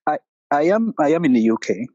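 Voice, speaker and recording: A middle-aged man from Cameroon with a Cameroonian accent, calling in to tell a personal story in a halting way with many restarts. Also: on the high side, sing-song, a little mellow, lo-fi sound, a little hiss or hum. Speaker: caller. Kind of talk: personal story on a call-in show.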